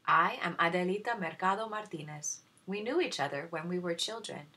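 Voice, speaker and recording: A woman voicing a sweet, shy and sincere young kitchen maid in a storybook, gentle and polite. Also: lowish voice, a little fast.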